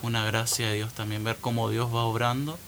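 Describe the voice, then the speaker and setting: A man's voice: medium pitch, flat delivery, a little fast, a bit bright, a little hiss or hum. A young man, a newly ordained priest, speaking earnestly and reflectively in a radio interview, laughing readily.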